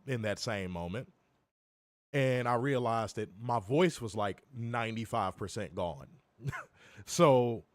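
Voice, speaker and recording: A young man hosts a live stream from home, his voice hoarse and worn from illness. He talks straight to the chat, cursing freely and joking through it. His pitch sits mid-range, and the mic sound is close and dry with no room around it. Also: dry recording. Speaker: co-host of a wrestling review stream. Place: home, in Chicago.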